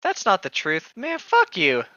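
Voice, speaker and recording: A co-host of a read-along who reads aloud and heckles casually, playfully indignant and quick to swear. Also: mid-range voice, huge pitch swings, pushed and bright, dry recording, highs cut off. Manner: mock-indignant, playful, profane. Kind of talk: casual chat mixed with reading a novel aloud.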